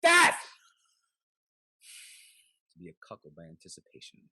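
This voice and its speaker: An actor playing a bitter, cuckolded husband in a Restoration comedy, scheming and sardonic, with florid, declamatory delivery. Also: male voice, measured pace, pushed and bright, no room sound. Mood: anguished outrage. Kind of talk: theatrical Restoration comedy acting.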